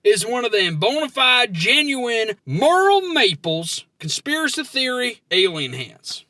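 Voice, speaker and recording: A man with a rural American accent co-hosting a comedy podcast, bantering in a folksy, excitable, argumentative way. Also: very high voice, measured pace, dry recording, studio quality. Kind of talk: comic banter.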